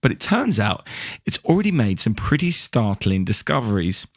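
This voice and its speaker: A man's voice: medium pitch, dry recording. A man co-hosting a podcast, presenting news in a conversational style. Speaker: podcast co-host.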